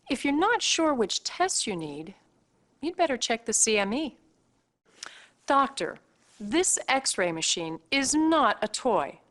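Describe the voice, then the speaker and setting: An actress playing a brisk hospital staffer in a video game cutscene, instructing and teasing the player in a pointed way. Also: female voice, a little high. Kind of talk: acted game cutscene dialogue addressed to the player.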